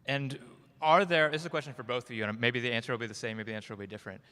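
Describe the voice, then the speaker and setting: A man's voice: mid-range voice, fairly even intonation, rapid-fire, bright, forceful tone. A man moderating a conference panel, asking long, searching questions. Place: conference stage. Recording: long reverb of a conference hall.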